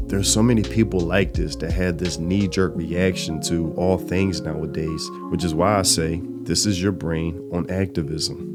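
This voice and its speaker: Raspy low-voiced man with a cold. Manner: conversational, recounting a dialogue. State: sick with a cold, raspy and dry. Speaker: channel host. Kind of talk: casual storytelling commentary. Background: music.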